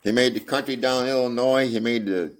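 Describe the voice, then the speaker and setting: A man's voice: steady tone, brisk, lightly acted. A man voicing a small girl lost in the desert, trusting and cheerful, in a raised childlike voice. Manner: high, childlike put-on voice. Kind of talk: amateur audiobook reading.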